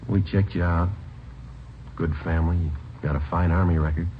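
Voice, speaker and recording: A man's voice: low voice, fairly even intonation, moderate pace, lightly acted. A man playing a terse, understated police sergeant in an old radio drama, on a muffled, hissy recording. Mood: calm. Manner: laconic, understated. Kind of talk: 1950s radio drama acting.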